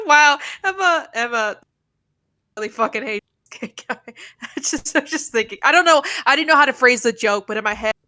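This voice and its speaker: A woman's voice: high voice, lively intonation, moderate pace, sharp tone, no room sound, studio quality. A woman chatting and joking as a co-streamer during a game stream. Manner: giggly, joking. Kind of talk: casual chat while gaming.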